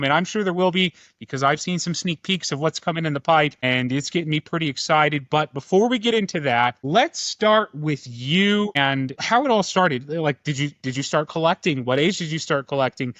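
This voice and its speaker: Eager, slightly high-voiced podcast host. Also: male voice, lively intonation, moderate pace, a bit bright, no room sound, lo-fi sound. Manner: enthusiastic, eager questions. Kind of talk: casual interview hosting.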